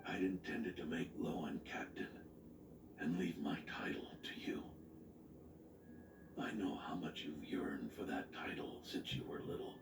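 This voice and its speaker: An actor playing a frail, gentle old knight captain in a video game, with a breathy, fatherly voice. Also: sits low, a little slow, echoey room, distant mic, rough recording. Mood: weak, regretful. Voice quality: breathy, whispery. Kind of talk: dramatic video game voice acting.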